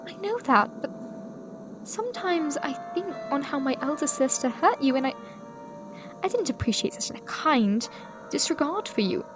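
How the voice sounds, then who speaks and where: Woman playing high-voiced, earnest young period gentlewoman. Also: sing-song, moderate pace, over music. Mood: indignant. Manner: polite, formal, earnest old-fashioned speech. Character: kind, earnest, devoted young gentlewoman of the 1780s. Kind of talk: period audio drama acting.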